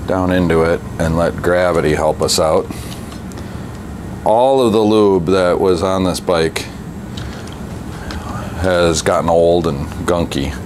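Low-voiced man chatting while fixing a bike. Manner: relaxed, chatty, thinks aloud with fillers. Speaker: bike mechanic and channel host. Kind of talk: casual workshop narration. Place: indoor bike workshop. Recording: workshop noise behind the voice.

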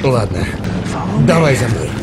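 An actor playing a calm, commanding army lieutenant in a dubbed war drama, speaking tersely and with authority. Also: male voice, medium pitch, measured pace, loud music behind the voice. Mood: firm, composed.

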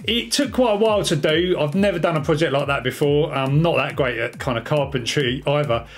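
A man's voice: fairly high pitch, brisk. A man, giving a casual, chatty explanatory vlog as a hobbyist presenter. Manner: informal, chatty. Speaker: radio hobby vlogger.

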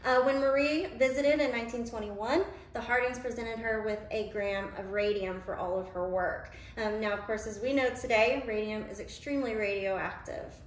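A woman's voice: fairly high pitch, steady tone, normal speed, hardly any pauses. Woman teaching history in an echoey kitchen. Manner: informative, with frequent ums and uhs. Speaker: museum educator. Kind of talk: cooking demonstration with history talk. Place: home kitchen, indoors. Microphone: camera a few feet away. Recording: roomy kitchen, mic at a distance.

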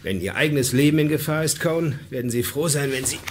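Man acting a gruff, bossy survivor leader, noisy surroundings. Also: mid-range voice, animated, quick pace, close to an everyday voice. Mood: sardonic, insistent. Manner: commanding, sardonic. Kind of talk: German radio drama acting.

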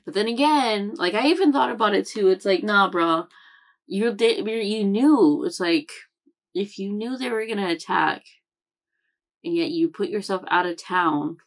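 A woman's voice: medium pitch, normal speed. A young woman hosting an anime reaction channel, rambling casually from a room at home, with lots of fillers and self-corrections. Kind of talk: casual chat recap.

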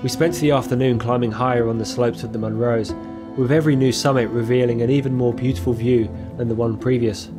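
A man gives a calm, reflective voice-over at a mid pitch, each sentence measured and unhurried. His voice sits over clear music playing beneath the narration. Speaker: filmmaker narrating his own trip. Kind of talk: scripted documentary voice-over narration. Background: music playing under the voice.

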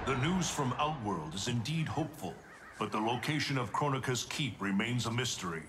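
An actor playing an ancient, protective guardian god in a fantasy game, speaking in a formal, stately, deliberate way. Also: male voice, medium pitch, animated, over loud music, lightly acted. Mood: solemn, counseling.

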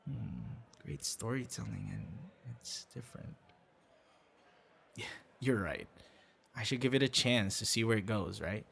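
A man's voice: fairly low pitch, animated, unhurried, vocal fry, long pauses, fairly bright tone, slight background noise, heavily acted. A man acting a shy, kind young boyfriend in an ASMR roleplay, speaking conversationally with hesitations and stammers. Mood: warm, excited.

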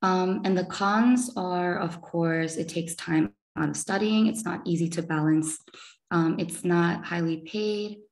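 Chatty low-voiced young woman on a call. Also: a little slow, darkish tone, low-quality recording. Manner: chatty, many fillers like "you know" and "um". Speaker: medical student speaker. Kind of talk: casual presentation over video call. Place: small room indoors. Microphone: video call.

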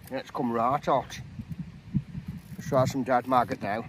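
A middle-aged man from England who vlogs his fishing, chatting to a camera on the bank of a lake outdoors, excitable and chuckling as he calls out his catches. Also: medium pitch, unhurried, noisy background. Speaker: angler vlogger. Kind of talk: casual fishing commentary to camera. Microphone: camera close by on the bank.

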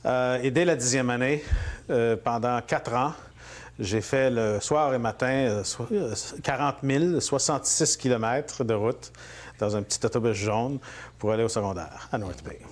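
A man's voice: mid-range voice, moderate pace. A man in his forties with a Franco-Ontarian French accent, a guest in a home interview, talking casually with many fillers and restarts. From Northern Ontario, Canada. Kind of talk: relaxed interview conversation. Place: living room at home.

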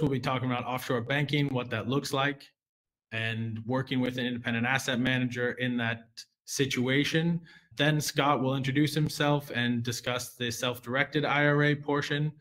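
A man's voice: mid-range voice, a bit bright. A young American man, a junior relationship manager, presenting a finance webinar from an office webcam in a conversational way, with fillers and restarts. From United States.

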